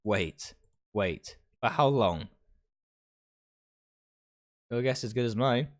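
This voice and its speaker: A man's voice: mid-range voice, lively intonation, slow. A man livestreaming a visual novel, reading its text aloud and stumbling over phrases now and then. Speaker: video game streamer.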